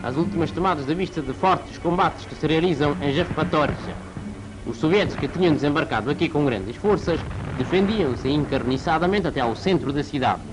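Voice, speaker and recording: A man with a slightly high voice narrates a 1940s wartime newsreel. He delivers the script formally, in a declamatory announcer's style. Music plays clearly behind him on the old film soundtrack. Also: brisk, poor audio.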